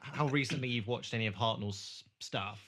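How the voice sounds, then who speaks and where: A man's voice: mid-range voice, normal speed, fairly bright tone. A man hosting a casual podcast discussion, talking in a loose, hesitant way with frequent asides. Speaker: podcast host.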